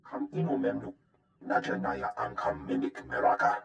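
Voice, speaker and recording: A man voicing a gruff, guttural alien creature speaking an invented tongue in a video game. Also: extremely low pitch, wildly swooping pitch, moderate pace, full character voice. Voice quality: guttural, gravelly. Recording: dull muffled echoing game audio.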